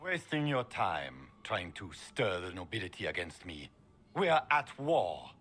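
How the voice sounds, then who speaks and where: A haughty, dismissive grand duke with a very deep, husky voice. Bright and lively in pitch, he sneers at his rivals in a game cutscene. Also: measured pace, soft background music, heavily acted. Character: proud scheming grand duke, imperious.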